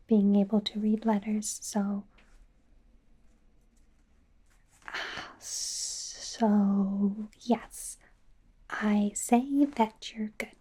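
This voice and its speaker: Slow whispering woman as a flustered werewolf doctor. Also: mid-range voice. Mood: awkward, unsure. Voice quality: soft breathy whisper. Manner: hesitant, stammering, softly flustered. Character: bumbling female werewolf doctor in training, defensive, eager. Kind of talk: whispered ASMR medical exam roleplay. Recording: faint background noise, close-up whispering.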